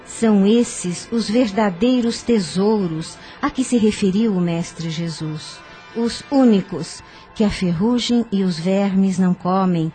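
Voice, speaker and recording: An actress playing a wise, patient older aunt in a radio soap, giving solemn, measured spiritual counsel. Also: female voice, medium pitch, mellow, lightly acted. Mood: solemn, consoling. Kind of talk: radio soap opera acting. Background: music.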